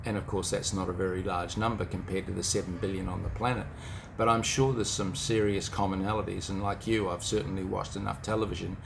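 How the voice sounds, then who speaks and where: Deep, gravelly New Zealand man. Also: little pitch movement, fairly quick, noisy background. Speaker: motivational speaker. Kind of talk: informal motivational talk to camera.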